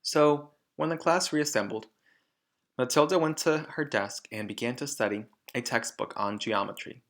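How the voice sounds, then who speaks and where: A man reading a children's storybook aloud in an expressive narrating style. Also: mid-range voice. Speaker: storybook reader.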